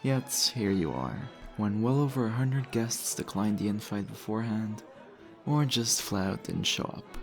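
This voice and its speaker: A man's voice: on the low side, lively intonation, a little slow, creaky. A man playing a charming, teasing vampire host in an intimate, conversational roleplay style. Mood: suave, curious. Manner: flirtatious, suave, conversational. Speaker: audio roleplay voice actor. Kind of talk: intimate ASMR roleplay acting. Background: background music.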